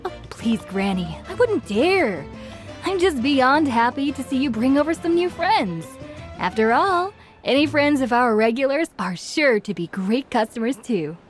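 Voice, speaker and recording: A woman's voice: high-pitched, big pitch swings, unhurried, soft background music. An actress playing a cheerful, polite young woman serving tavern customers in an anime game, speaking playfully. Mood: playful flattering.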